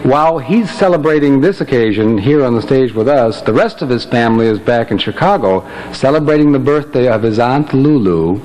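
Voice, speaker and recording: A man's voice: mid-range voice, animated, fairly quick, few pauses, darkish tone. A man telling jokes and stories on stage as an emcee, with a folksy, teasing way of setting up punchlines. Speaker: stage emcee and singer of a vocal group. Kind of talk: joking stage banter and storytelling to a live audience. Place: on stage before a live audience. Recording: noisy live hall recording with audience.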